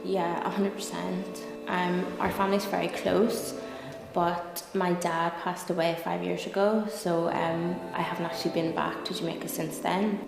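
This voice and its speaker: Young Northern Irish woman from Belfast, slightly low pitch, candid and conversational, reverberant room. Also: little pitch movement, normal speed. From Belfast, Northern Ireland. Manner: conversational, frequent "like" and "you know". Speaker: interview guest, lawyer and content creator. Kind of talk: candid personal interview. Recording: echoey reverberant room, clear music behind. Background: music.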